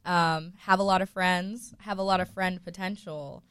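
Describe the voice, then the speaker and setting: A woman's voice: lowish voice, fairly even intonation, moderate pace, fairly bright tone, clean tone, no echo, clean studio sound. A woman guest on a radio show, chatting casually in a playful, mock-promotional way.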